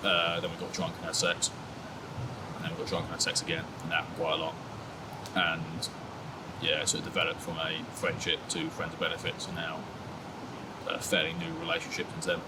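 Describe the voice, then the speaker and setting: A man's voice: low-pitched, monotone, a little fast, long pauses, heavy background noise. A man in his twenties being interviewed, chatting casually and laughing at himself, with lots of "sort of" and "like". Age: 20s. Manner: laid-back, self-mocking, hedging with fillers. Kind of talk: candid casual chat.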